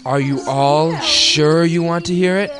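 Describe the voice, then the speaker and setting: An actor playing a spooky camp counselor, telling a horror story in an ominous, theatrical manner. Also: male voice, fairly high pitch, fairly even intonation, a little slow, crowd noise, natural voice. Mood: teasing menace.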